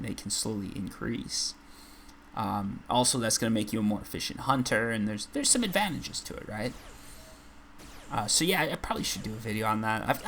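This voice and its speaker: Raspy, gravelly low-voiced man chatting. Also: fairly bright tone, a little hiss or hum. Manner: rambling, self-deprecating, chatty. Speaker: gaming channel host. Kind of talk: casual gameplay commentary.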